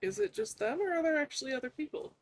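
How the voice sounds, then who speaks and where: Animated woman streamer with dry asides. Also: extremely high pitch, quick pace, vocal fry. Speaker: game streamer. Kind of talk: casual live stream commentary.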